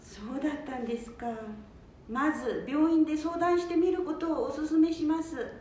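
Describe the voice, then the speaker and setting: A very echoey room surrounds a woman acting as a kind care manager in a radio drama. Her voice is high and soft-toned, attentive and polite. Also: moderate pace, natural voice. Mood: sympathetic. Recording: very echoey room, faint rumbling noise.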